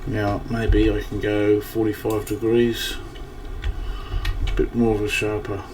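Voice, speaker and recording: A man's voice: fairly low pitch, monotone, unhurried, darkish tone. A man giving casual gameplay commentary, thinking aloud in a rambling way with a slightly scratchy early-morning voice. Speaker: gaming channel host. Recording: distant mic, faint background noise.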